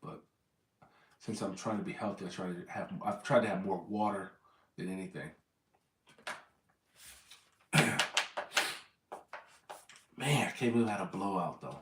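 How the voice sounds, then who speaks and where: A man's voice: sits low, measured pace, long pauses, echoey room, distant mic, slight background noise. An American man from Southern California in his thirties, rambling casually to his viewers and correcting himself as he goes. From Southern California, USA. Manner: meandering, corrects himself often. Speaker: vlogger.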